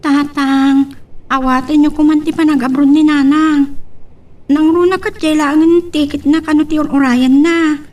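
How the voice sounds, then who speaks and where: A woman's voice: high voice, steady tone, measured pace, darkish tone. A woman playing an earnest young daughter who pleads for her family in an audio drama, a little off the microphone. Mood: pleading. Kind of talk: Ilocano radio-style audio drama. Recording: distant from the microphone.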